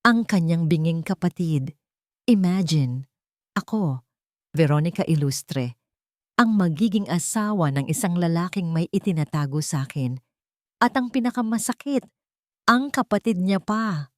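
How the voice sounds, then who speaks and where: A woman's voice: fairly low pitch, lively intonation, unhurried, long pauses, dry recording, studio quality. A woman voicing a haughty, vengeful young rich bride-to-be in a story, indignant and self-important. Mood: indignant, furious.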